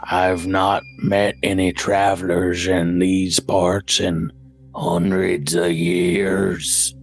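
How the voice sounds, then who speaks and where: A man doing a gruff old rancher woman. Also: low voice, little pitch movement, faint music behind, exaggerated voice. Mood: wary. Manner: folksy rural drawl, slow and measured.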